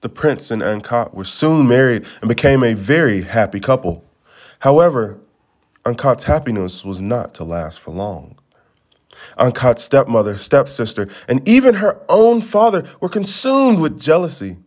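A man reading a storybook aloud as a storyteller, with expressive, dramatic phrasing. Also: mid-range voice, moderate pace. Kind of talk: scripted storybook narration.

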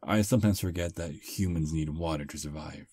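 A man voicing a friendly 215-year-old male vampire on a first date in an ASMR roleplay, soft-spoken and slightly halting. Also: very deep voice, breathy edge, no room sound. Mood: easygoing.

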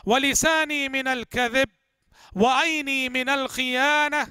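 A middle-aged man delivering a religious lecture, slowly reciting Arabic prayers and explaining them with emphatic repetition, recorded on a headset mic indoors. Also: extremely high pitch, bright, forceful tone, clear, resonant tone, no room sound. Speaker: Islamic lecturer. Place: indoor room with curtained window.

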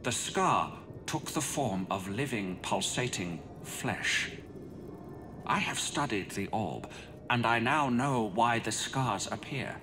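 An actor playing a remorseful alchemist in a horror game, delivering a solemn, formal record in grave, deliberate phrases. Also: male voice, on the low side, animated, over music. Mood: grave, resolved. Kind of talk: solemn dramatic game monologue.

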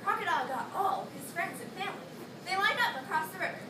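A woman's voice: extremely high pitch, animated, fast talker. A young woman narrating a children's story on stage in an emphatic, theatrical way. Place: classroom, recorded by a far camera. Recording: echoey classroom from far off, noisy.

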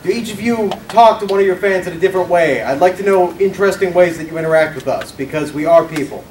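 A man's voice: high voice, quick pace, very noisy. A man in the audience projecting his voice as he asks a panel a fan question. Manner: loudly projecting. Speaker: audience member asking a question. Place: convention room audience. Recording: roomy hall, far from mic.

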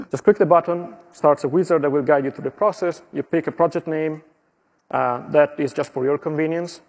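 A middle-aged man from Italy with an Italian accent, giving a steady tech conference walkthrough with small slips and fillers. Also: a little high, moderate pace. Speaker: conference presenter. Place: conference stage.

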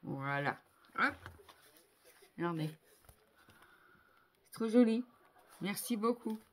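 A woman in her fifties chatting casually to viewers as a craft vlogger, effusive and appreciative, exclaiming often and repeating words haltingly, recorded on a phone indoors at home. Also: medium pitch, expressive. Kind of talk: casual unboxing chat to viewers. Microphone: phone on a tripod at arm's length.

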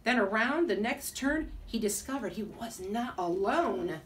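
Animated woman reading aloud, distant mic. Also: on the high side, measured pace, slight background noise. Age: middle-aged. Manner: expressive, animated storytelling. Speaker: second grade teacher reading a bedtime story. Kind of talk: children's storybook read-aloud. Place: small school room, indoors. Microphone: camera a few feet away.

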